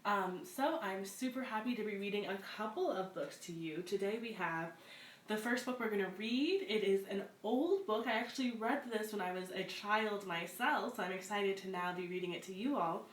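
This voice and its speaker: Woman, children's story-time host, read-aloud style, casual introductions, medium pitch, echoey room, faint background noise. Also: normal speed. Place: echoey room at a community center.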